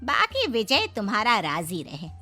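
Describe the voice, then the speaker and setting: A woman's voice: fairly high pitch, huge pitch swings, measured pace, sharp tone, soft background music, full character voice. A woman voicing a chatty, reassuring middle-aged relative in a cartoon story.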